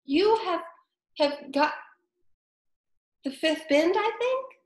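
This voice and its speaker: A woman's voice: very high voice, lively intonation, takes it slowly, pitched above the performer's own voice, heavily acted. A woman reading the lines of a polite, eager young girl in a storybook, in an earnest, pleading way. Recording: roomy echo.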